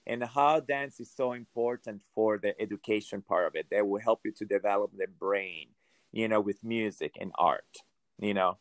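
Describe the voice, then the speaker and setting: A middle-aged man with a Venezuelan accent, a ballet director speaking in an interview, halting and repeating himself, with many "you know"s. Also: lowish voice, no room sound. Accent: Venezuelan Spanish. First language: Hungarian. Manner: halting, repeats words and restarts, says "you know" often. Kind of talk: conversational interview.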